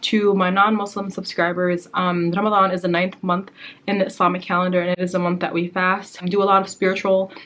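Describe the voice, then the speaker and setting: A woman's voice: on the low side, flat delivery, a little fast, fairly bright tone. Young Somali woman talking to camera. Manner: earnest, addresses viewers as "you guys" often. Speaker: beauty and lifestyle vlogger. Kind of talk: casual talk to camera.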